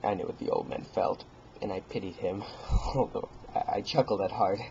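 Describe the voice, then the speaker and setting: A man voicing a manic madman in a gothic horror story, halting and stammering as he repeats words and swings between hushed and agitated speech. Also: lowish voice, natural voice. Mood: hushed, brooding. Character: manic, stammering madman gleefully confessing his stalking of an old man. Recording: dull lo-fi home recording, faint noise.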